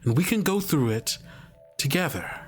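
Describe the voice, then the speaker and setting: A man's voice: on the high side, big pitch swings, moderate pace, over loud music, pitched above the performer's own voice. A man voicing a stern, controlling woman who lectures children with condescension in a tabletop roleplay. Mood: coaxing, patronizing. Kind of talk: tabletop actual-play acting.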